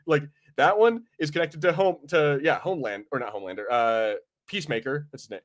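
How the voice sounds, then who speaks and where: A man's voice: on the high side, brisk. A man co-hosting a podcast in a casual, profane, rambling way. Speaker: podcast co-host. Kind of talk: casual pop culture podcast chat.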